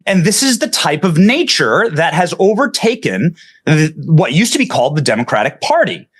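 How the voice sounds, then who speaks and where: Lively high-voiced man, combative debater. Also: moderate pace, husky. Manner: emphatic, combative, talks over interruptions. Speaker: debate panelist. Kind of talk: heated televised panel debate.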